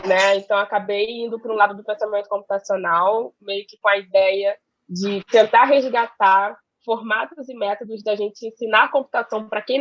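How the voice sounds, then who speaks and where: A woman's voice: mid-range voice, fairly bright tone, dry recording, highs cut off. A woman in her twenties speaking on an online panel, chatty, with many fillers, over a video call. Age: 20s. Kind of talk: casual online panel talk.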